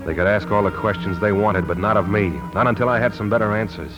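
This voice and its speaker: An actor playing a wary, troubled amnesiac war veteran who narrates in a terse, hardboiled 1940s radio style. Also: male voice, on the low side, steady tone, fast, lightly acted. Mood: tense. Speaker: lead actor and first-person narrator. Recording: 1940s radio broadcast with music.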